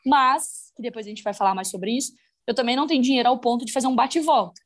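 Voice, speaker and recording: A woman's voice: on the high side, fast talker. A woman from Brazil, a guest on a podcast, telling a story in casual chat with many fillers.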